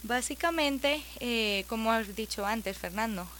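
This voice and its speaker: A woman with an accent from Spain appears as a guest on a radio show, explaining financial planning and pausing on fillers. Her voice is bright and forceful, with a faint hum behind her. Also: a little high, expressive. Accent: Spanish (Spain). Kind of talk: radio interview.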